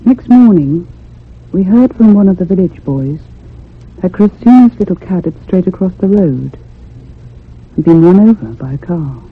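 A man's voice: high-pitched, lively intonation, normal speed, breathy edge, full character voice. A man playing a frightened narrator who recounts a haunting in grave, dramatic radio-drama style. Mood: haunted, troubled. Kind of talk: dramatic first-person radio thriller narration. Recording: muffled, noisy old radio recording with hum.

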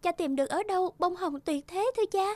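A woman voicing an innocent, loving young daughter in a cartoon fairy tale, gentle and respectful. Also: very high voice, a little fast, no room sound, pitched above the performer's own voice. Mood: cheerful, innocent. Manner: soft, artless, respectful.

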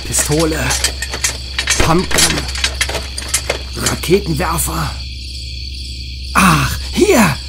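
A man's voice: a little high, lively intonation, very slow, long pauses, over loud music, full character voice. A man playing an absent-minded, matter-of-fact storyteller on the run in a horror-comedy audio play. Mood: musing. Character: absent-minded male storyteller fleeing a monster.

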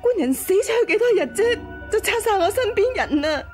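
Aggrieved young widow, very high voice, tearful, plaintive, Hong Kong Cantonese, radio drama. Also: female voice, animated, fairly quick, soft background music. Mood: distraught, tearful.

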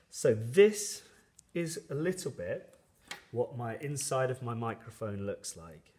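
A man giving a step-by-step science tutorial in an explanatory, instructional manner as a teacher. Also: medium pitch.

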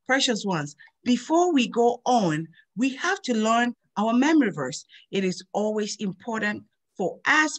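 A middle-aged woman, hosting a children's Bible lesson over a video call and slowly drilling memory verses in a sing-song teacher voice. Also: medium pitch. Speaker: host of a children's Bible program.

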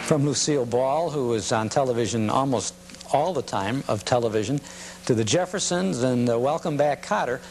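A man's voice: mid-range voice, moderate pace. A man hosting a nostalgia program, talking informally and somewhat hesitantly as he presents. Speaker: host of a nostalgia show. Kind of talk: informal presenting to camera or audience. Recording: hum and steady background noise.

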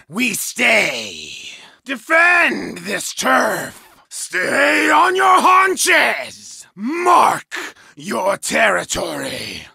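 Gravelly feral berserker warrior. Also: male voice, very high voice, pushed and bright, no echo, studio quality, exaggerated voice. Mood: commanding, fierce. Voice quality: gravelly, growling. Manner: archaic phrasing, fierce short barks and battle cries. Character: savage, feral wolf-pack berserker warrior, male, fearless. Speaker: game character voice actor. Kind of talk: medieval combat game voice lines.

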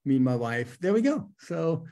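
A man's voice: on the high side, sing-song, normal speed. A middle-aged man with a German accent, a business coach speaking on a video call from home in an upbeat, talkative way. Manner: upbeat, talkative, frequent ums. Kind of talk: casual interview on a video call. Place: home room with a lamp.

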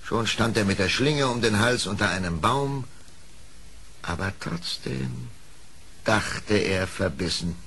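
A man with a lowish voice narrates a fairy-tale radio play. He takes his time, very slow and measured, on an old record with faint noise. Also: creaky, fairly bright tone. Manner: measured, literary storytelling.

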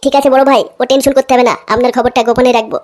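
A woman voicing excitable, foul-mouthed cartoon gangsters, blustering and repeating phrases in a comic dubbing style. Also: sits high, rapid-fire, close to an everyday voice. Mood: deferential.

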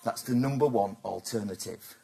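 A man's voice: fairly low pitch, moderate pace, creaky, dark tone. A man giving a business talk to a live audience, chatty and teasing, throwing questions at the crowd. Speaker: presenter.

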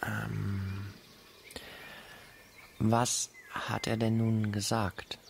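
An actor playing a hesitant, naive young man in a German radio play, speaking haltingly and asking tentative questions. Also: on the low side, level tone, creaky, slight background noise, heavily acted. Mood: puzzled, hesitant. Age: young adult. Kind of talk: naturalistic radio play acting.

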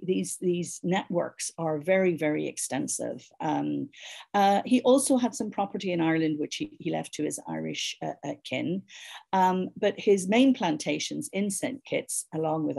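An older woman lectures over a video call, her voice low and gravelly with a husky edge. She speaks in a measured academic way, often pausing on fillers and restarting phrases. The sound is a webcam mic in a book-lined room. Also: warm tone. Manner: measured, many fillers and restarts, asks for next slide. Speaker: keynote speaker, historian. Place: library room with bookshelves, indoors.

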